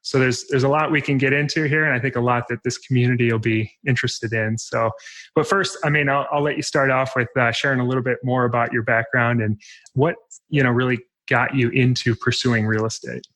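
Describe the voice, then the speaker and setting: A man's voice: medium pitch, fairly even intonation, brisk, fairly bright tone. A man in his thirties hosting a podcast, asking friendly, loosely phrased questions with plenty of fillers. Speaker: podcast host. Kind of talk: scripted intro, then casual interview chat.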